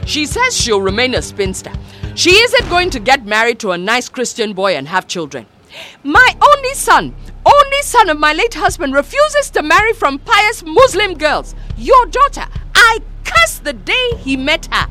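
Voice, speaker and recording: A fierce, imperious older mother who scolds in a shrill, high, swooping voice, as a woman reader puts her on. She sounds proud and furious, with soft music faintly under her. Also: huge pitch swings, moderate pace, heavily acted. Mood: bitter.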